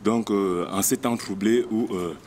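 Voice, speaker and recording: A man's voice: lowish voice, a little slow, a little mellow, slight background noise. A man, a singer from Cameroon, answering interview questions earnestly with many hesitations.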